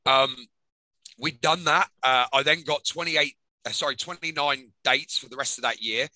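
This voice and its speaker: A man's voice: mid-range voice, normal speed, sharp tone, no echo, rough recording. An English man in his thirties from England, a wrestler telling his story candidly in a casual interview, with lots of ums. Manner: frequent ums, candid and chatty. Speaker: guest, professional wrestler.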